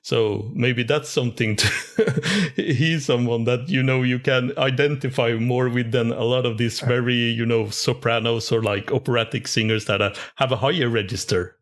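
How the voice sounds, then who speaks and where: A man's voice: mid-range voice, fairly even intonation, normal speed. A man with a mid-range voice hosting a casual interview show. He asks long, meandering questions, filling gaps with "you know" and "uh", and sounds eager and friendly about the music. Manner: enthusiastic, rambling questions. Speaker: podcast host.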